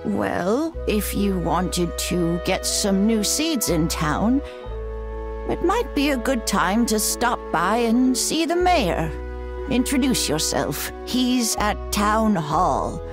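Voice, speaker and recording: An old grandmother in a farming game, feisty yet affectionate. She talks slowly and folksily, her pitch swooping up and down. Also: sing-song, music in the background. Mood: warm, folksy. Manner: folksy, doting, hesitant and chuckling. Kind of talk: animated video game voice acting.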